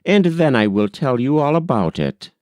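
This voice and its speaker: Man voicing a lively, teasing young gentleman. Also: mid-range voice, normal speed, no echo, voice pushed up, lightly acted. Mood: friendly, polite. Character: frank, teasing, cheerful young gentleman in a novel.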